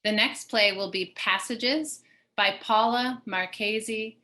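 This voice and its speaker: A woman's voice: medium pitch, takes it slowly, sharp tone. A woman hosting a live online event, introducing the items on the program over a video call. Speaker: host.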